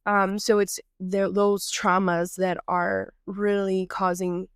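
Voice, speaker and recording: A woman's voice: medium pitch, slow, clear, resonant tone, no room sound. Female podcast host from Texas, nasal from a cold, clean dry close-mic voice, earnest reflective delivery, improvised feel.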